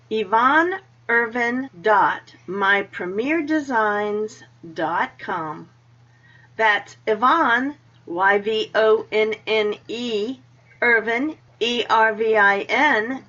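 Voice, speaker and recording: A woman's voice: fairly high pitch, bright, forceful tone, muffled recording. A woman hosting a radio show, chatting and reading scripted announcements. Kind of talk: friendly interview sign-off and scripted sponsor reads.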